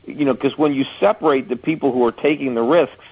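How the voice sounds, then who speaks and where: A husky-voiced man in his forties hosts a call-in radio show. He talks at an everyday pitch and lays out his views through plain, homely comparisons. He often trips over his own words and restarts. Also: fast, darkish tone, low-quality recording. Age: 40s. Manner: explains with homely analogies, frequent restarts.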